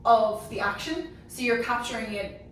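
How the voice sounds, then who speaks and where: A woman's voice: fairly high pitch, moderate pace. Female panellist, casual conversational delivery, recorded distantly in a heavily reverberant room. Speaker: panellist on a sports discussion show. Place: echoey indoor room. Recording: very echoey room, distant mic, faint noise.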